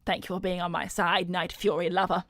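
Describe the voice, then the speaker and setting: A smug cartoon hedgehog celebrity voiced by a woman, purring in a low, gravelly feminine register. She is flirtatious and businesslike, with a coolly condescending polish, heard close and dry over music. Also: little pitch movement, fairly quick, fairly bright tone, no room sound. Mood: smug, pleased. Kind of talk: improvised in-character livestream roleplay.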